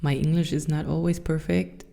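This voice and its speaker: A woman in her thirties with a German accent, hosting her own podcast and speaking softly and thoughtfully with some hesitant fillers. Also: sits low, level tone, moderate pace, vocal fry. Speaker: podcast host. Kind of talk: reflective solo podcast talk.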